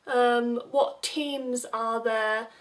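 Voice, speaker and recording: Young woman, measured advice talk, little pitch movement, recorded away from the mic. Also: steady tone. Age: young adult. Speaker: advice video presenter. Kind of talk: informal advice talk to viewers. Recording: distant microphone.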